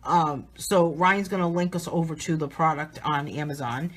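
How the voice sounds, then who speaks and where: A woman's voice: low-pitched, normal speed. A woman with a Southern New England accent, chatting casually as she hosts a live coloring demo. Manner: chatty, upbeat, restarts often. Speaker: artist and livestream host.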